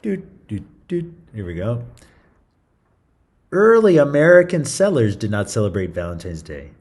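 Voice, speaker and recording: A man's voice: mid-range voice, sing-song, measured pace, a little mellow, breathy edge. A man reading a story aloud to children in a theatrical, playful style, with chatty asides. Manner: theatrical, playful, interjecting comments to young listeners.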